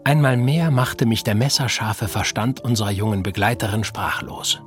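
A man narrating an old-fashioned detective tale in a measured, formal first-person voice. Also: on the low side, faint music behind, close to an everyday voice. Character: measured male storyteller, scholarly.

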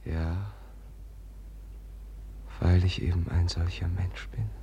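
A man acting a gentle, naive young prince in a German radio play, halting and soft-spoken, with sincere self-deprecation. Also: low-pitched, fairly even intonation, highs cut off, a little hiss or hum. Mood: hurt, resigned.

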